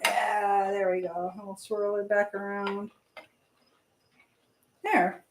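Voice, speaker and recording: A woman's voice: medium pitch, level tone, slow, background noise. A woman hosting a casual live chat, rambling as she reads out and answers viewer comments. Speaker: livestream host. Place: indoors at home, in an echoey room. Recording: echoey room.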